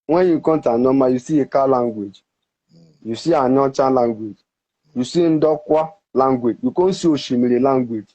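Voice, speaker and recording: A Nigerian man whose first language is Ika, explaining at length with halting restarts in an interview. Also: medium pitch, mellow. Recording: dull, muffled recording.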